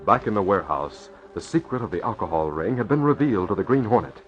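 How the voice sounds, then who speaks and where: Deep, animated male radio narrator. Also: fast, a little hiss or hum. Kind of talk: dramatic old-time radio narration. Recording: dull vintage radio recording.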